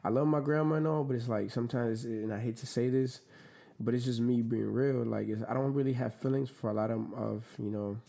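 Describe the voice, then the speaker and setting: Gravelly, mellow man chatting casually. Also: medium pitch, fairly even intonation, fairly quick. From Dominican Republic. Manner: laid-back, slangy, many fillers. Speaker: podcast guest, clothing brand owner. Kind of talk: casual interview chat.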